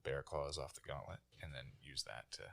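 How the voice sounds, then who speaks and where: A man with an extremely low, creaky voice plays a tabletop game. He casually talks through what his character does. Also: a little fast, sharp tone.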